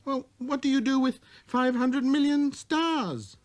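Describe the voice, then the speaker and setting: Guy doing a little prince kid for a storybook, way high voice, keeps asking questions, insistent. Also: unhurried, no room sound. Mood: curious. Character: little prince, small curious persistent boy from another planet.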